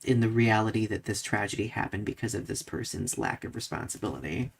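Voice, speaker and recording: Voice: medium pitch, fast, creaky, slight background noise. A podcast co-host chatting through current events on a live show in a conversational, informal way.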